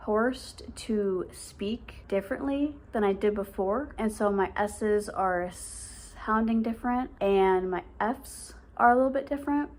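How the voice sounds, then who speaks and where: A woman in her late twenties, a vlogger talking casually to camera with a slight lisp and a stutter, using lots of fillers. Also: mid-range voice. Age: late 20s. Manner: speaks with a stutter; chatty, filler-heavy, rambling.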